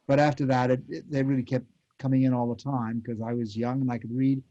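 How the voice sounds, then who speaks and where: A man's voice: mid-range voice, little pitch movement, a little fast, warm tone. Muffled elderly American man reminiscing. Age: 80s. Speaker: former child radio actor, convention guest. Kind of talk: reminiscing in a panel interview. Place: remote virtual convention call. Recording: muffled lo-fi call audio.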